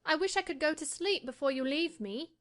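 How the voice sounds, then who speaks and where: A woman voicing a frail, shy invalid boy who speaks softly and drowsily. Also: sits high, fairly quick, pitched above the performer's own voice. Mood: drowsy. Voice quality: soft and drowsy.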